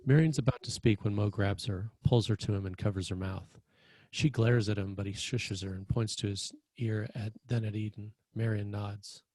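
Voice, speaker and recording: Deep, mellow man reading stage directions. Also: steady tone, normal speed, no room sound. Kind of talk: scripted screenplay narration at a table read.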